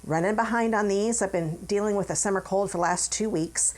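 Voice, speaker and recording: A woman's voice: medium pitch, fairly quick, darkish tone. A middle-aged woman from the United States, hosting a chatty, upbeat craft tutorial from her home office and talking while she works. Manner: chatty, upbeat, talks while working with hands. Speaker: craft demonstrator and channel host. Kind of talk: friendly step-by-step craft tutorial.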